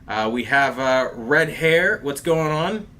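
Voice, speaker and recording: A man hosting a casual livestream, talking in an animated, chatty way with plenty of fillers and calling his viewers bro and dude. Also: medium pitch, slow pace. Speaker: host of a TV review livestream. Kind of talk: casual livestream chat reading viewer comments.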